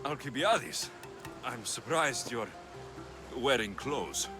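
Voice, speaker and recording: An actor playing a gruff, impatient young Spartan mercenary in a game, speaking in a curt, stern and sardonic way. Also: male voice, mid-range voice, expressive, slow pace, music in the background. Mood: dry, curious.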